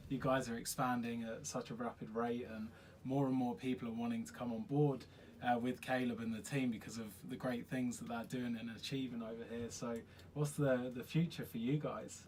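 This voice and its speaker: A man's voice: medium pitch, fairly even intonation, moderate pace, a little mellow, rough recording. A man hosting a casual interview in an agreeable, encouraging way. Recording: faint background noise.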